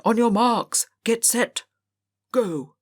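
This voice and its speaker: A woman voicing a brisk male schoolteacher in an audiobook, giving instructions in a matter-of-fact way. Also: a little high, pitched above the performer's own voice. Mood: starting a race.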